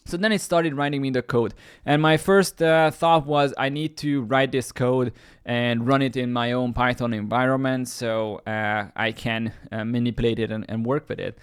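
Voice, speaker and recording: A man whose first language is Hungarian walks viewers through a screen-share tutorial. His voice sits at an ordinary middle pitch. He rambles, restarts his sentences and leans on fillers as he explains. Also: fairly even intonation, normal speed, smooth. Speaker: YouTube tech host.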